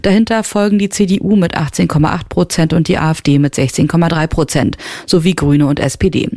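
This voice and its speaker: A man reading a radio news bulletin in an even, measured way. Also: fairly high pitch, dry recording, clean studio sound. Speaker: newsreader.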